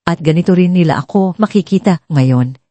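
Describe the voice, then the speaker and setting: A woman voicing a humble, quietly resolute self-made millionaire in a dramatic story, earnest and deliberate. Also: fairly low pitch, no room sound, close to an everyday voice. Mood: reflective, determined.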